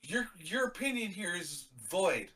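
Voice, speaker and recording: A young man from Canada, co-hosting a live reaction stream in a blunt, animated, casual way, swearing now and then. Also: high-pitched, unhurried, recorded from a distance.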